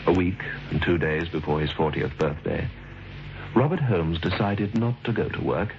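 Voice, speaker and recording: A man with a low voice tells a story as narrator of a vintage radio drama. He is formal, measured and dryly understated. Music plays clearly behind him on a dull old recording.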